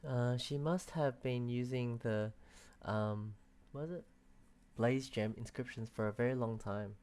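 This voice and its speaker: A woman's voice: extremely low pitch, unhurried, a little mellow, a little hiss or hum. A woman giving casual reaction commentary as she plays through a game's story. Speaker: streamer reacting to a game.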